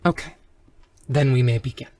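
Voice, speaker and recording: A man's voice: mid-range voice, normal speed, a little mellow, no room sound, pitched below the performer's own voice, natural voice. A man voicing a female doctor who is clinical and businesslike with an older-sister manner, in a tabletop game. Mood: composed, reassuring.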